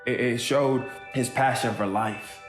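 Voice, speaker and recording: An actor playing a grieving, earnest younger brother in an audio drama monologue, speaking haltingly. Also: male voice, medium pitch, steady tone, natural voice. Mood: fond, reflective. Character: grieving kid brother giving a heartfelt eulogy. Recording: roomy echo, music underneath.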